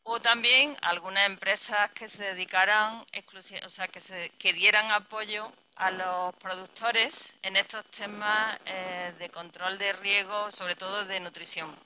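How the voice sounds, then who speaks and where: A woman's voice: medium pitch, fairly even intonation, unhurried, few pauses, sharp tone, a little hiss or hum, poor audio. A woman researcher giving a conference presentation, thinking aloud and rephrasing herself with restarts.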